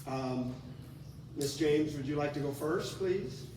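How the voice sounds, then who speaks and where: A man moderating a public forum, reading out audience questions in a loose, joking way. His voice sits a little high and lands far from the mic. Long reverb from a large hall hangs around him. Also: slow pace, noisy background. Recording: distant voice in a roomy hall.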